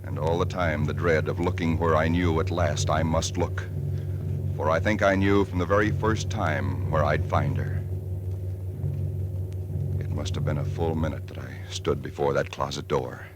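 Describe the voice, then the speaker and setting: An actor playing a shaken young husband who narrates his own story in a tense, halting way, stumbling over words under strain, in a 1940s radio drama. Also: very deep voice, fairly even intonation, very noisy. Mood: haunted.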